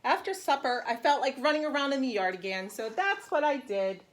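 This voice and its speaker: A woman with a fairly high, very lively voice reads aloud for young children. She speaks simply and explains as she goes, stressing words expressively like a preschool teacher. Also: a little fast, slight background noise, low-quality recording.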